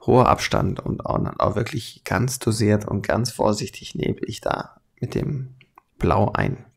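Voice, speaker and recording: A man's voice: on the low side, vocal fry, slightly rough. A man with a light southern German, Swabian accent, talking casually and colloquially as he hosts a hands-on tutorial. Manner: colloquial, chatty, chuckles often. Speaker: tutorial host, airbrush artist.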